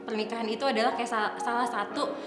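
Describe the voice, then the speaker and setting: Indonesian woman, twenties, slightly high voice, casual discussion, English phrases mixed in, echoey room, background music. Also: fairly even intonation, fast talker. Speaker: panelist. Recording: roomy sound with music underneath.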